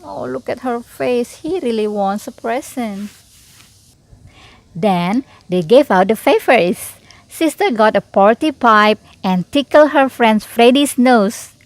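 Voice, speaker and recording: A woman reading a children's storybook aloud in an expressive, unhurried way, with little asides to the listener. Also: a little high, dry recording. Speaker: storybook reader.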